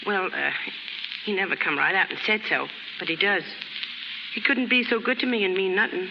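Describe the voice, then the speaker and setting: A woman's voice: mid-range voice, moderate pace, gravelly, background noise, natural voice. An actress playing a brash, naive young chorus girl from the slums in 1930s American radio drama, with slangy, ungrammatical street talk. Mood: hopeful, hurt. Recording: muffled 1930s radio recording with music.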